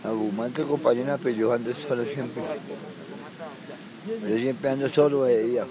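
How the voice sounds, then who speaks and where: Man, 30s, halting repetitive answers, park interview with busy crowd behind. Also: medium pitch, steady tone, poor audio. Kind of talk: street interview. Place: city park outdoors. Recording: outdoor crowd noise. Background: street crowd and traffic.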